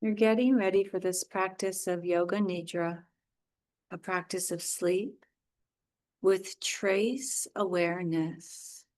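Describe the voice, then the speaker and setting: A woman teaching yoga nidra, guiding a meditation in a soothing, unhurried way with short, spaced phrases. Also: fairly low pitch, fairly even intonation, darkish tone. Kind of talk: guided relaxation meditation.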